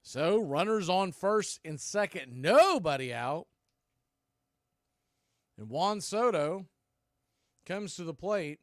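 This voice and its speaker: An American man doing live baseball play-by-play in clipped, punchy calls with pauses, mixed with casual fan chat. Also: high-pitched, big pitch swings, no room sound, studio quality.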